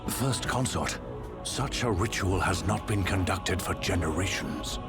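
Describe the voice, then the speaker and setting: An actor playing a measured, decisive older emperor with a regal, deliberate delivery in a formal fantasy game drama. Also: male voice, deep voice, animated. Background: loud background music.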